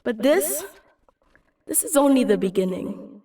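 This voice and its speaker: A woman's voice: on the high side, lively intonation, unhurried, dark tone, roomy sound, far from the mic. A woman playing an earnest, idealistic young Kenyan activist in a dramatic motion comic, explaining and persuading. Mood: earnest, explanatory.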